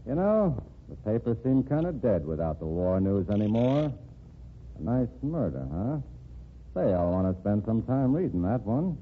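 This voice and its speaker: A menacing gangster boss. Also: male voice, on the low side, sing-song, normal speed. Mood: threatening. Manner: tough, clipped street talk. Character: menacing male gangster boss, blunt and threatening. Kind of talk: 1940s radio acting. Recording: tinny old radio broadcast with hiss.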